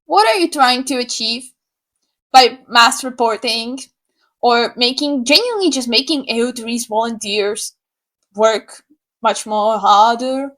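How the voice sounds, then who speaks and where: Animated, sarcastic young woman from Slovakia. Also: a little high. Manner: sarcastic, animated, swears freely. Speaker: YouTuber. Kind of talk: opinion rant to camera.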